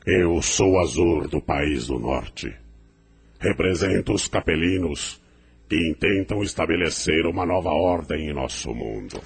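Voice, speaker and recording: A man's voice: a bass voice, expressive, a little slow, a little hiss or hum, heavily acted. An actor playing a defiant, grandiose rebel leader in an audio drama, declaiming pompously like an orator to his followers. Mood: commanding. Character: Azur, defiant rebel leader rallying crowds, grandiose and menacing.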